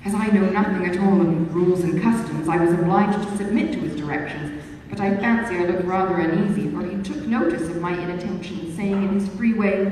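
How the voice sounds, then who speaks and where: A woman's voice: fairly low pitch, background noise. A woman reading a classic novel aloud at a book launch, narrating expressively in the first person. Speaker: reader. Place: book launch event hall. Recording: echoey hall, mic far off.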